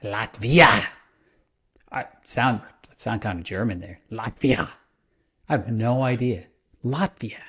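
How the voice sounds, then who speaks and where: A man's voice: fairly low pitch, takes it slowly, long pauses, a bit bright, husky. A man co-hosting a podcast about fatherhood, chatting in a playful, joking way. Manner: playful, jokey. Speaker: podcast co-host. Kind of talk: casual podcast chat.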